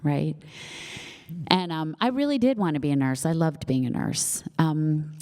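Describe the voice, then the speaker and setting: A woman's voice: sits low, big pitch swings, a little slow, warm tone. A middle-aged woman author with a slight lisp, chatting casually and self-deprecatingly with an audience, with lots of fillers. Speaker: author at book launch. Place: event hall with live audience.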